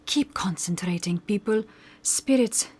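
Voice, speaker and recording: A woman's voice: medium pitch, unhurried, a little mellow, lightly acted. A solemn female medium , acted by a woman for a radio play, formal and commanding as she conducts herself with theatrical mystery. There is some background noise on the recording. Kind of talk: old-time radio drama acting.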